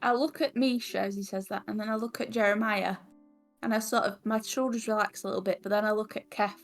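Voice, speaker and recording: A woman's voice: mid-range voice, steady tone, fast talker, faint music behind. A woman playing a tabletop game, speaking guardedly in character and narrating her actions. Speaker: tabletop player.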